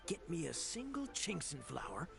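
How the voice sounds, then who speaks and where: Game merchant: grudging bargainer, fairly high male voice, creaky, music underneath. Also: huge pitch swings, moderate pace, heavily acted. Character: grudging, haggling male trader, a father.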